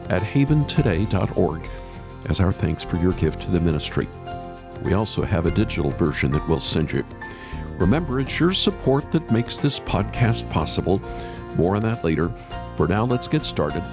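A man's voice: on the low side, gravelly. A man hosting a faith podcast, delivering introductions and interview questions in a radio style.

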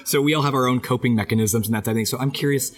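A man hosting a podcast interview with a raspy voice from a head cold, joking lightly and asking questions with many fillers. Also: medium pitch, rapid-fire. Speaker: podcast host, professor.

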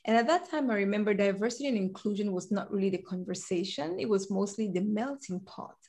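A woman from Cameroon in her forties tells her personal and professional story as an interview guest. She is animated and laughs easily, and her voice sits at a middle pitch. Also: fairly quick. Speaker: guest, compliance director. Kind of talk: conversational interview.

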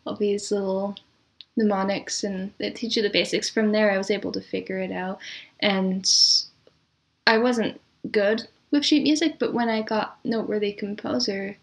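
A woman answering as a composer guest in a casual, chatty interview, quick to laugh. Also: mid-range voice, steady tone.

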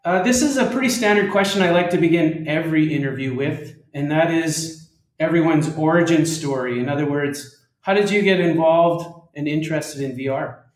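A male podcast host with a slightly higher-pitched voice, speaking as an interviewer. The recording is roomy, with clear echo around his voice. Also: normal speed. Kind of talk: podcast interview, welcoming introduction. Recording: roomy, echoing sound.

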